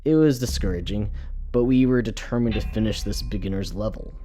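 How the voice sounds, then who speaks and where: A man reads a scripted first-person horror story in a measured, dramatic storytelling manner. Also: lowish voice, mellow, a little hiss or hum. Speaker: storyteller, narrator. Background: music.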